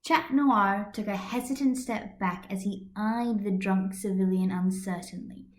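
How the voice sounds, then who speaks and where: A woman's voice: medium pitch, unhurried, roomy sound. A woman reading a fan story as an amateur narrator, recorded on a computer's built-in microphone. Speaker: amateur podfic reader.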